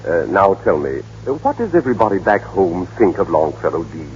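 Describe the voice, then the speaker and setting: An actor playing an insistent, smooth prosecuting lawyer in an old radio drama, with formal, emphatic theatrical delivery. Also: male voice, low-pitched, sing-song, a little fast, darkish tone. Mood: politely smooth. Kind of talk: 1930s-40s radio drama acting. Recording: muffled, noisy old radio broadcast.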